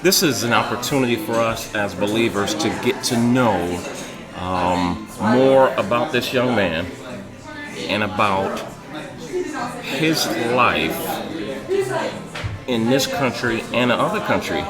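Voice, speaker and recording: A man's voice: fairly low pitch, takes it slowly, pauses often, loud music behind the voice. A man from Detroit hosting a casual faith interview, curious and repeating answers back to his guest. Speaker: host.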